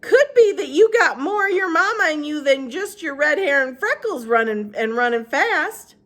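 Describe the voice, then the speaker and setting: A woman voicing a kindly, wise old woman with poor eyesight and a drawling Southern accent, pitched high and loud. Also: expressive, moderate pace. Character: old woman with bad eyes, warm and wise.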